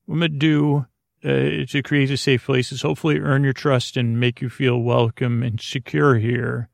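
A man with a creaky voice hosting a sleep podcast, speaking in a soothing, meandering bedtime monologue that halts and trails off into tangents. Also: medium pitch, flat delivery, moderate pace, dry recording, studio quality. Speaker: podcast host.

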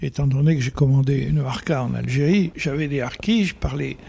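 An older man, a photographer telling stories slowly and repeating phrases for emphasis. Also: medium pitch, creaky, dark tone, slightly rough. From Algeria. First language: Arabic. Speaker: photographer guest. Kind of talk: reflective storytelling in conversation.

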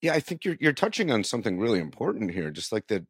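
A man with a deep voice talks as an interview host in a dry, echo-free recording. He is conversational and thoughtful, restarting words now and then as he works out his point. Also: sing-song, quick pace, breathy edge. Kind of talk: conversational interview about writing and marketing.

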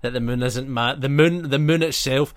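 Man, 20s, podcast co-host, casual banter, mid-pitched voice, very little room sound. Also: normal speed, no echo.

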